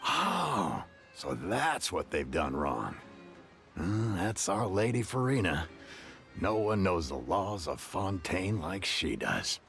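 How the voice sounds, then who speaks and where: A man's voice: low voice, very animated, slow pace, full character voice. A man voicing an easily impressed townsman looking on from a crowd in a video game. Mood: admiring. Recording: music under the voice.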